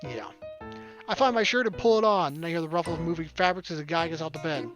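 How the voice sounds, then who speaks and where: A man's voice: fairly high pitch, quick pace. A man hosting a gaming video, reading visual novel narration aloud with wry, casual asides. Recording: game music behind the voice. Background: game music.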